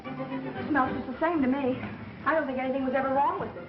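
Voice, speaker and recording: An actress playing a quick-witted, wry woman under suspicion in a 1930s mystery film. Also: sits high, fairly even intonation, close to an everyday voice. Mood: sarcastic. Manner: wry, sarcastic retorts. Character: quick-witted, sarcastic woman suspected of murder. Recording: muffled 1930s film soundtrack with music.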